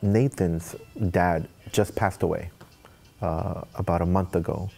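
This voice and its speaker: Casting: middle-aged male school music director, low register, interview delivery, light music underneath. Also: steady tone, unhurried, gravelly, mellow. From Edinburg, south Texas. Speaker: high school mariachi director. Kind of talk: interview and rehearsal coaching.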